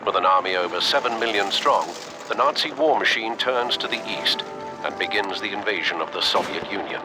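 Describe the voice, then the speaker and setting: An actor playing a grave wartime documentary narrator in a video game, portentous and deliberate. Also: male voice, lowish voice, background noise, close to an everyday voice. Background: music and battle sounds.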